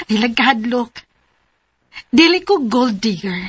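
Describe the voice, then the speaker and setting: A high-voiced, playful young Cebuano woman. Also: husky, no echo, full character voice. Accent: Filipino, Cebuano. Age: young adult. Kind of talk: radio drama dialogue.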